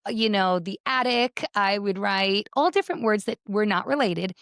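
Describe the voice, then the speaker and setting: A woman's voice: mid-range voice, normal speed, fairly bright tone, no echo, clean studio sound. A woman in her thirties or forties with an American accent, hosting a conversational podcast. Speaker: podcast host.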